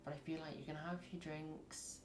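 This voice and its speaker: A woman with a husky voice, reading tarot in a chatty, rambling way and acting out little scenes as she goes. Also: deep voice, level tone, brisk. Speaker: tarot reader. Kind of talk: casual tarot reading to camera. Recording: echoey room.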